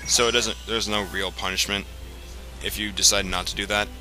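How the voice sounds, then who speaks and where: A man's voice: lowish voice, little pitch movement, fairly quick, sharp tone. A man giving casual, rambling, explanatory commentary as host of a video game playthrough. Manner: rambling, explanatory, frequent profanity. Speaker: let's play host. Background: video game music and sound.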